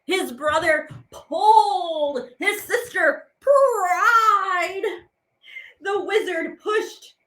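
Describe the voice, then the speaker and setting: A woman teaching artist hosting a program, reading children's poems aloud in a playful, theatrical way with drawn-out words. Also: very high-pitched, a bit bright.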